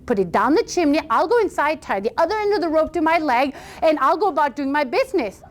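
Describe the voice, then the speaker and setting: A stubborn farmer from a folk tale, calmly explaining his ridiculous plan as if it were plain sense, as a woman storyteller puts him on. His tone is matter-of-fact and smug. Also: sits high, quick pace.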